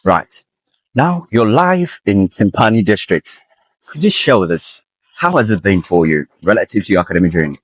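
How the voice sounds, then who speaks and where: Deep-voiced man hosting a podcast. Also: expressive, normal speed, breathy edge, no echo. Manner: formal, effusive with praise. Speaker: podcast host. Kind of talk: interview podcast.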